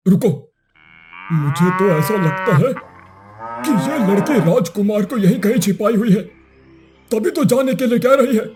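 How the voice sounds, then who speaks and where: A man playing a menacing enemy soldier in a cartoon story, gruff, threatening and commanding. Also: high-pitched, expressive, measured pace, long pauses, darkish tone, faint music behind. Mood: suspicious. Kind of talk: Hindi cartoon moral story dialogue.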